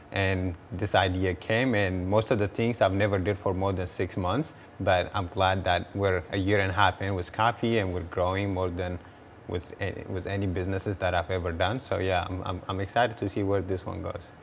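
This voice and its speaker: A man's voice: low-pitched, flat delivery, normal speed, a little hiss or hum. A young man, answering interview questions earnestly and often repeating words as he restarts. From Ethiopia. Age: 20s. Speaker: guest entrepreneur.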